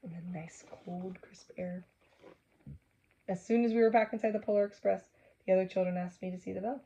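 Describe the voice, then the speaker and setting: A woman's voice: mid-range voice, a little fast, dull audio, slight background noise. A woman reading a children's book aloud expressively, stopping to chat with and question her young listeners. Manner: animated, acts out exclamations, asks listeners questions.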